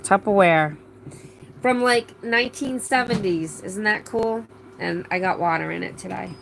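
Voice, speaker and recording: A woman's voice: mid-range voice, a little slow, vocal fry, a little hiss or hum, rough recording. A middle-aged woman casually chatting on a live stream, reading out viewer comments with lots of fillers, her voice a little strained after laryngitis. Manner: chatty, rambling, many fillers and restarts. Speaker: livestream host.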